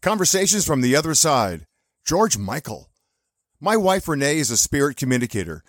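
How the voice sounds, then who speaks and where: An older man with a deep, rich radio voice, reading a scripted show introduction in a polished announcer style. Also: very animated, moderate pace, no room sound, studio quality. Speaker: podcast co-host.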